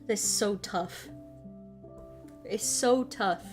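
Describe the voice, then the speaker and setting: A woman in her thirties, a streamer chatting casually while she plays a puzzle game, playful and quick to poke fun at herself. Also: medium pitch, a little mellow, soft background music. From United States. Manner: playful, self-mocking, laughs often, tries out broken Spanish and French.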